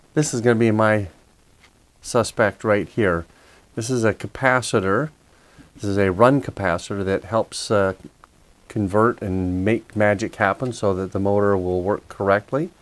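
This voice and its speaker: Male DIY host, lower-pitched voice, methodical and casual, talking viewers through a hands-on fix. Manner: methodical, step-by-step explaining. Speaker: repair video host. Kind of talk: casual hands-on repair walkthrough.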